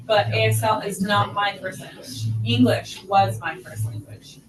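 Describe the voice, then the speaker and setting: A woman's voice: mid-range voice, fairly even intonation, measured pace. A middle-aged deaf woman teaching a sign language class, patiently explaining step by step. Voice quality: deaf speaker's voice. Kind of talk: teaching a class, answering questions. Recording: echoey hall from far off, noisy.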